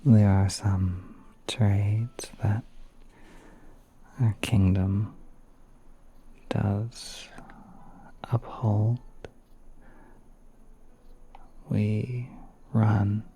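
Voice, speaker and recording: A man playing a gentle, courteous, shy spider prince in an ASMR roleplay, soft and breathy, often whispering, with polite, hesitant musing. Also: fairly low pitch, level tone, extremely slow, pauses often, slight background noise.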